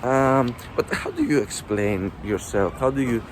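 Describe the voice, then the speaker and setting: A man's voice: medium pitch, expressive, unhurried, a little hiss or hum, poor audio. A Greek man in his twenties with a Greek accent, chatting casually in a vlog while walking along a snowy street. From Greece. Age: 20s. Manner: chatty, rambling with fillers. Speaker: vlogger.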